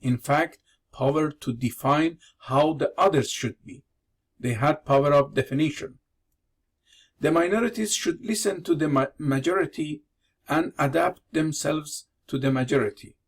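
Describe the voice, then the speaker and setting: Iranian-born guy giving a lecture, slow careful english, bit higher voice. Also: slightly rough. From Iran. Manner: measured, deliberate delivery in non-native English. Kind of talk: prepared lecture with slides.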